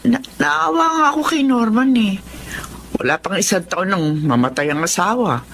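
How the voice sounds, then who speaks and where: A woman's voice: mid-range voice, animated, normal speed, vocal fry, a bit bright, a little hiss or hum. A Filipino woman playing a caring middle-aged wife in a radio drama, earnest and sympathetic. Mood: tender, wistful.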